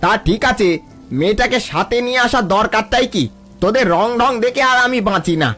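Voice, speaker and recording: Haughty cartoon village bully, played by a man, very high voice, boastful and scolding, music underneath. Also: a little fast, bright, forceful tone. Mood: scornful, boastful. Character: arrogant rich village man, scornful bully. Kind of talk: cartoon voice acting.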